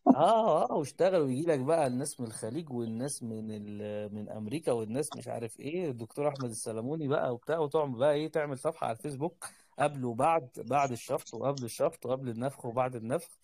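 A man's voice: mid-range voice, rapid-fire, a little mellow, no room sound. A man with an Egyptian Arabic accent giving casual advice on a group voice call, rambling and repeating his words. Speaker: host, doctor advising junior colleagues. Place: online voice call.

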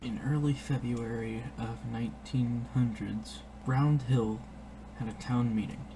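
Slow man narrating over noisy ambience. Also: mid-range voice, steady tone. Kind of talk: scripted local history narration. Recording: clearly noisy background ambience.

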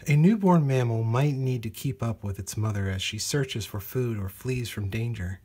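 A man reads a children's nature book aloud. His voice sits at a middling pitch with a noticeably breathy, husky grain. He phrases each sentence deliberately, as narration for young listeners. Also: mellow. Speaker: storybook reader. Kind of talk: read-aloud children's nonfiction storybook narration.

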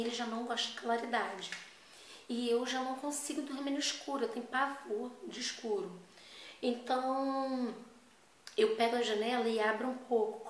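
A Brazilian woman in her thirties with a Rio de Janeiro accent, chatting casually and emphatically to the camera as a vlogger. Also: on the high side. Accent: Rio de Janeiro (carioca) Brazilian Portuguese. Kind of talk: casual personal chat to camera. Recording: echoey room, faint background noise.

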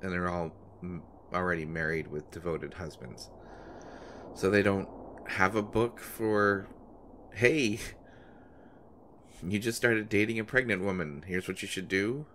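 A man's voice: low-pitched, steady tone, a little slow, long pauses, fairly bright tone. A man playing an anxious, devoted boyfriend of a pregnant woman in an intimate roleplay audio, speaking in a hesitant, stammering way. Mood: earnest, teasing. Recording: noticeable background noise.

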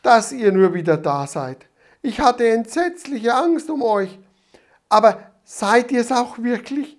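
A man voicing a kindly, emotional old grandmother in a high, excited, tremulous voice. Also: takes it slowly, exaggerated voice. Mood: overjoyed.

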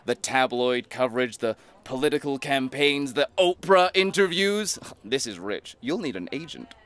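Man playing a glib, scheming businessman, animated pitch. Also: moderate pace, natural voice. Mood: gleeful mockery. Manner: mocking, slick, persuasive. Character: cynical, greedy, glib rich businessman, smug and scheming. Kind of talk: scripted audio play acting.

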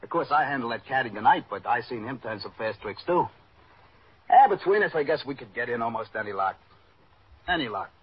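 An actor playing a cocky, streetwise young car thief in an old radio drama, slangy and offhand with a husky voice. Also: male voice, mid-range voice, animated, fairly quick. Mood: flattered, boastful. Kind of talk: 1950s radio drama acting. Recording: muffled old radio recording.

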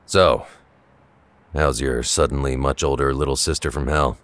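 A gruff, sardonic tough-guy mutant played by a man in an audio drama. His casual, sneering delivery sits extremely low in pitch. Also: steady tone, moderate pace, fairly bright tone, no room sound.

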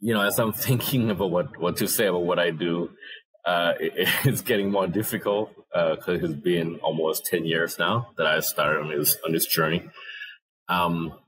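A man in his thirties from Thailand with a Thai accent, answering interview questions thoughtfully and haltingly. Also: low voice, steady tone, fairly bright tone, breathy edge, poor audio. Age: 30s. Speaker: interview guest, social entrepreneur. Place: indoor room, on a video call. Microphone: earphone headset.

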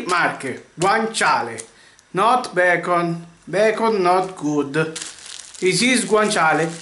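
A man in his thirties hosting a home cooking lesson, halting and searching for English words. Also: high-pitched, pushed and bright. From Vietnam. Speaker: cooking channel host. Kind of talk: casual cooking demonstration. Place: home kitchen, indoors. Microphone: camera mic, often at arm's length or across the room. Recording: echoey kitchen with faint noise.

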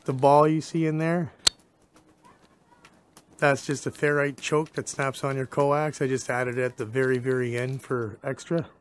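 A man's voice: mid-range voice, little pitch movement, measured pace, slight background noise. A man giving a casual, plain-spoken hands-on demonstration as a hobbyist, recorded on a handheld camera. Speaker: amateur radio hobbyist presenting a how-to video. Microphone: handheld camera mic, operator behind camera.

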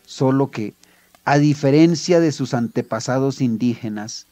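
A man with a Colombian accent reads literary fiction as its author. His pitch sits in a medium male range. The recording is dry, with very little room sound. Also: unhurried, mellow, no echo, soft background music. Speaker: writer reading his own short story. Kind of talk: literary story reading.

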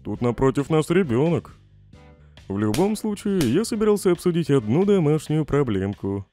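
A man's voice: on the high side, sing-song, moderate pace, dark tone. A man voicing a snarky, irritable skeleton scientist in a comic dub, sardonic and dismissive. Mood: casual, teasing. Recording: faint music behind the voice.

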